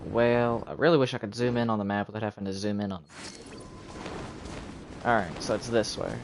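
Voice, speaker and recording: A man's voice: lowish voice, fairly even intonation, moderate pace, background noise. A man giving casual live gameplay commentary as a game streamer, thinking aloud as he plays. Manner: thinking aloud while playing. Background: game music.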